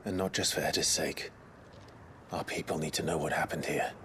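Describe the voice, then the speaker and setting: An actor playing a grim, resolute and protective warrior in a fantasy video game, speaking in solemn, measured phrases. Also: male voice, extremely low pitch, fairly even intonation, bright, forceful tone, a little hiss or hum. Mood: earnest. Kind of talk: dramatic video game cutscene acting.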